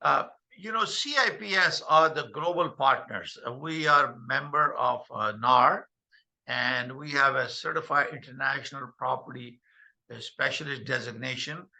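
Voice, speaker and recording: An older man hosting a video call meeting, speaking haltingly with many fillers. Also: medium pitch, gravelly, bright, forceful tone. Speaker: host.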